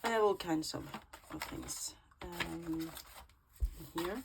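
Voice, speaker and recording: A Swedish woman chats her way through a crafting vlog at home, her voice sitting slightly low and her pace slow and easy. She talks in a relaxed, rambling way with plenty of ums. A faint noise lies under the recording. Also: vocal fry. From Sweden. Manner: unhurried, chatty, many ums and restarts. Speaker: junk journal creator.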